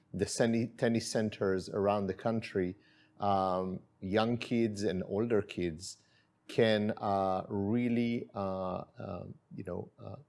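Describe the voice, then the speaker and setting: A middle-aged man from Israel, chatting easily through a cooking demonstration in his kitchen, with plenty of fillers. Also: on the low side. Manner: relaxed, friendly, with many fillers. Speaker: chef and spice company owner.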